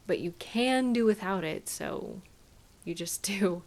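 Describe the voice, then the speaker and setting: Casting: caring female partner played by a woman for intimate audio drama; slow, tender, gently chiding, mid-pitched. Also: expressive. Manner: tender, soothing, gently questioning and unhurried. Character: caring, gently chiding partner arriving home to their sweetheart. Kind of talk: intimate scripted audio roleplay addressed to the listener.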